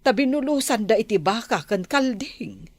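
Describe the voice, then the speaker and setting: A woman's voice: a little high, normal speed, no echo. A woman with an Ilocano accent playing a doubting, practical village wife in a radio comedy-drama. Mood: doubtful.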